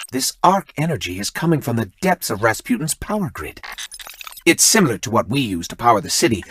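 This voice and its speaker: An actor playing an eager, nerdy little robot companion in a video game, chatty, fussy and expressive. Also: male voice, mid-range voice, slight background noise. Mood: curious.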